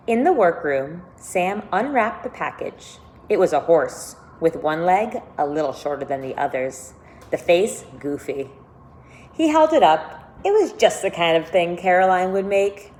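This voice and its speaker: Expressive woman teacher reading aloud, noisy background. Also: fairly low pitch. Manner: deliberate, questioning, stressing key words for young listeners. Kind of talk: expressive read-aloud of a children's novel with think-aloud comments.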